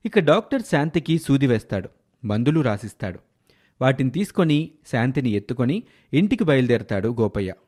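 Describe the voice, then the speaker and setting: Guy narrating a moral story, middle pitch, scripted storyteller style. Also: very animated, a little fast, a little mellow.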